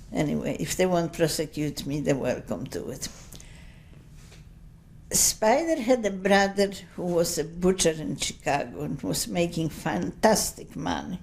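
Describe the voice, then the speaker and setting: An older woman in her seventies tells her story in English that is not her first language, wandering through asides. Her voice sits low and carries a gravelly fry. She talks at a slow, unhurried pace. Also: a little mellow. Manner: slow, rambling, anecdotal with many asides; speaks English as a second language. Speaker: interviewee giving oral history testimony. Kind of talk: unscripted recollection.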